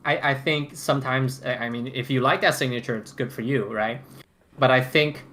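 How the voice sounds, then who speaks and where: A man in casual podcast banter, halting and repeating words as he teasingly challenges the others. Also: mid-range voice, steady tone, fairly bright tone. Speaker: podcast panelist.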